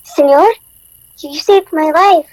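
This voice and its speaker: A performer playing an excitable young Filipino boy in a radio drama, with a childlike manner. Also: sits high, slow, rough recording. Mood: shaken. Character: young native Filipino boy, eager then frightened.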